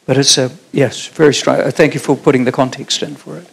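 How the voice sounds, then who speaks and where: A man's voice: medium pitch, flat delivery, brisk, vocal fry, husky. A middle-aged man with a New Zealand accent, answering questions on a theatre stage after a keynote, thoughtful and often restarting. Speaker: keynote speaker. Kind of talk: conference Q&A answers.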